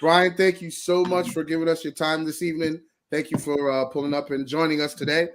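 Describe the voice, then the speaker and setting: A man's voice: fairly high pitch, level tone, fairly quick, background noise. A man co-hosting a casual basketball talk show, upbeat and welcoming, thanking listeners and bringing on a guest. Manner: upbeat, talks over his co-host, says thank you often. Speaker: co-host. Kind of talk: casual podcast chat.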